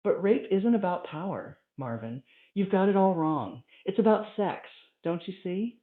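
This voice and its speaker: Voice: medium pitch, animated, a little slow, natural voice. A reader voicing a bumbling, boastful male prison inmate in a comic story, with folksy bombast. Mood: bargaining. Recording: echoey room, thin phone-like sound.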